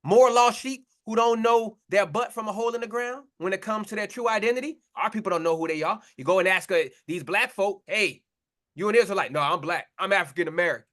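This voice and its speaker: A man's voice: high-pitched, fairly quick, fairly bright tone. A man preaching a confrontational sermon, blunt and mocking, in slangy talk to his listeners.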